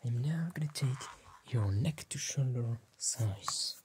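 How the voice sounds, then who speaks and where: A young man whispering gently and politely in an ASMR roleplay as a tailor, in a slow, measured, breathy voice close to the mic. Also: medium pitch, expressive, background noise. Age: young adult. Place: small indoor tailor workshop set.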